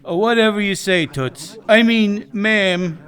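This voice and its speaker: A man's voice: high-pitched, slow pace, a bit bright. A man playing a cheeky, easygoing deli counterman in a noir radio play, familiar and offhand.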